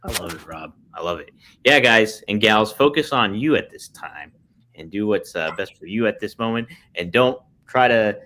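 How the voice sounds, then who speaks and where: Man in his thirties, podcast co-host, animated pitch, upbeat and encouraging, casual. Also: moderate pace, a little hiss or hum. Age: 30s.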